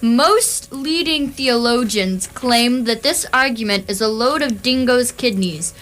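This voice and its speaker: Guidebook narrator for radio theatre female performer: fairly high, bright, dry, measured and informative. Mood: dry, explanatory. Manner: measured, explanatory.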